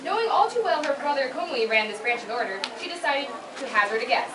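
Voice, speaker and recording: A woman's voice: high voice, expressive, fast. A young woman reading scripted fan fiction narration aloud at a convention panel. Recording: echoey noisy room, far from mic.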